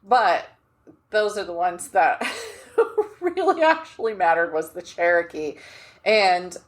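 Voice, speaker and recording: Low-voiced, expressive woman in chat. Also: slow pace. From United States. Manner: expressive, gestures and laughs, trails off mid-thought. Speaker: podcast co-host. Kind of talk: casual chat.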